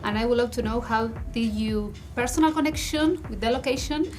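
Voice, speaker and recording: Brief: upbeat woman host, Spanish native, slightly high voice, soft music bed. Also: a little fast. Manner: enthusiastic, effusive praise. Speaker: interviewer. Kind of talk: entertainment interview.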